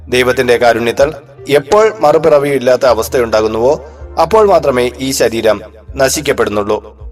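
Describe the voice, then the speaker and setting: A man giving a formal, measured, explanatory religious discourse in the manner of a scripted audio blog host. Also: mid-range voice.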